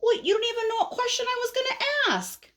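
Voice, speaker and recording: A woman voicing a whiny, clueless and excitable young male caterpillar from a storybook, pestering with eager questions. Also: extremely high pitch, a little fast, voice pushed up. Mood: impatient, pestering. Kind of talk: animated storybook read-aloud.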